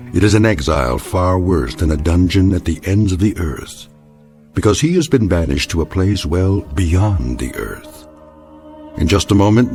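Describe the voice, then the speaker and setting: The grave narrator of an eerie radio tale, with a very deep voice that rises and falls widely. He is solemn and deliberate, with music playing clearly behind him. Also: a little mellow. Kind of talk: scripted radio drama narration.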